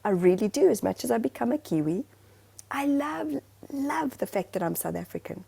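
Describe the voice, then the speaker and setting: A woman from Zimbabwe talking casually as a podcast co-host, rambling and animated with many restarts. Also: fairly high pitch, normal speed, vocal fry, breathy edge. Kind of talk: casual chat.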